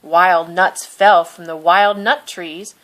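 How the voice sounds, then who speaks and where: A woman reading a children's picture book aloud in a gentle, expressive storytelling manner. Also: lowish voice, slow pace, rough recording. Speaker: storybook reader.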